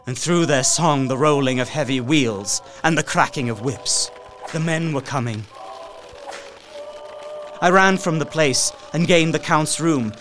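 A terrified young man trapped in a gothic tale, played by a male actor. His mid-range voice is theatrical and intense, recounting horrors in deliberate archaic phrasing. Soft music runs underneath in radio drama fashion. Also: mid-range voice, lightly acted. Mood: dread, despair. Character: young Englishman, terrified desperate captive writing his journal.

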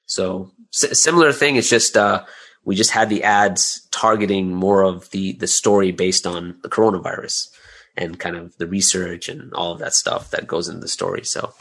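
Low-voiced man chatting as interview guest. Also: fairly even intonation, normal speed, fairly bright tone. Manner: chatty, often adds "right?" and "you know". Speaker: interview guest, audio drama creator. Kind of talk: conversational interview answers.

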